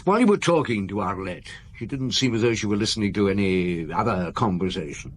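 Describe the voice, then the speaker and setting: An actor playing a calm, probing middle-aged chief inspector in a radio drama, asking short, pointed questions with dry humour. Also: male voice, lowish voice, brisk.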